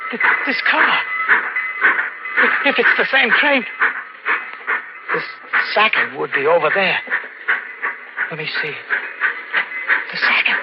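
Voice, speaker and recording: High-strung hunted drifter in old radio thriller. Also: male voice, very animated, takes it slowly, creaky, long pauses, loud music behind the voice, full character voice. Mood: panicked. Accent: American. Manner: slangy, intense, melodramatic. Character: desperate hunted drifter turned killer, American man. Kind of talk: 1940s radio thriller acting, first-person narration. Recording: dull, very noisy old radio recording.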